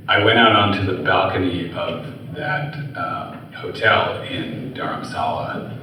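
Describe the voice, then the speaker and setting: A man's voice: sits low, sharp tone. A man from the US, an author telling personal stories onstage, talking in a rambling way with many fillers and restarts. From USA. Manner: rambling, filler-heavy, self-correcting, laughs easily. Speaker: author and interview guest. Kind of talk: personal storytelling in an onstage conversation. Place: large hall at a live event. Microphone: handheld microphone, trouble with the sound. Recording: echoey hall from far off, audible noise.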